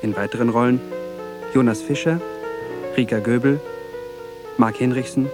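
A man's voice: medium pitch, fairly even intonation, moderate pace. Male radio announcer over plucked music. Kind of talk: formal scripted credit reading. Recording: music behind the voice.

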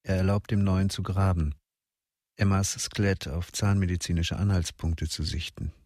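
Deep male narrator, deliberate and intense. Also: flat delivery, a little mellow, no echo, clean studio sound. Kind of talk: scripted radio drama narration.

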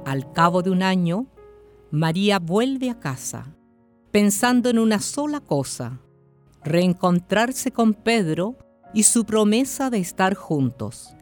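A woman's voice: mid-range voice, sing-song, takes it slowly. A woman delivering scripted literary narration for a radio drama. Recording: faint music and rain bed. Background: soft music and rain.

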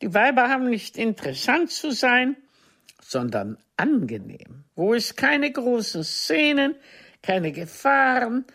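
A proud, ageing theatre diva in a radio drama. Her husky voice swings widely in melody, theatrical and cutting, as she reproaches a former lover with formal, wounded dignity. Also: fairly high pitch, sing-song, measured pace, a bit bright, full character voice. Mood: indignant. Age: older. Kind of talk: German radio play dialogue.

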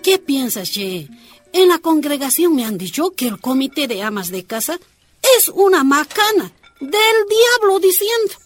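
An actress playing a plain-spoken, stubborn miner's wife with a Bolivian accent, colloquial and questioning, in a radio drama. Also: female voice, high voice, big pitch swings, measured pace, faint music behind. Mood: chatty. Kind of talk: Spanish-language radio drama acting.

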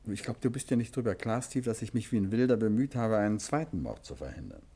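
An actor playing a composed gentleman detective in a 1950s radio play, speaking in a calm, measured and quietly ironic way. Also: fairly low pitch, husky, heavily acted.

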